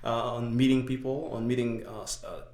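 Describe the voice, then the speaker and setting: A man's voice: medium pitch, little pitch movement, brisk, darkish tone. Haitian-accented young man, roomy sound. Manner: explains at length, many "uh" and "right?" fillers. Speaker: podcast guest. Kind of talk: casual chat. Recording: echoey room.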